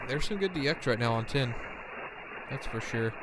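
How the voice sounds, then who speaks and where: A man's voice: medium pitch, animated, moderate pace. A man hosting a casual amateur radio livestream, chatting and reading out callsigns as an operator. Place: home ham radio shack. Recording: loud radio static and hiss. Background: shortwave radio noise.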